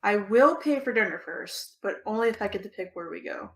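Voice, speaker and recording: A woman's voice: medium pitch, brisk, vocal fry, low-quality recording. Woman acting sardonic young American woman, Eve. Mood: teasing, intimate. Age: young adult. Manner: dry, teasing, deadpan. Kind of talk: audio drama dialogue.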